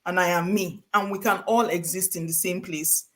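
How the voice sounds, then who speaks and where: A woman's voice: on the low side, steady tone, moderate pace, fairly bright tone. A middle-aged woman from Nigeria, hosting a live-streamed conversation and telling stories in an earnest, emphatic way. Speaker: host of a live session.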